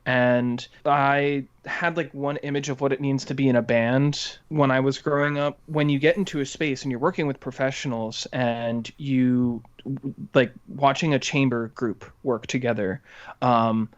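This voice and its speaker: A man's voice: medium pitch, steady tone, unhurried, clean tone. Young man chatting, slightly muffled recording. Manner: enthusiastic, informal. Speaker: podcast co-host. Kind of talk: casual music discussion.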